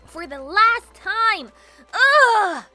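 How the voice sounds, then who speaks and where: Scheming young filly, very high-pitched, animated, grumpy, sarcastic, girlish, cartoon fan drama. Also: drawn-out words, heavily acted. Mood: sulky, annoyed. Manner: bratty, sarcastic, exasperated. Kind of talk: fan fiction audio drama acting.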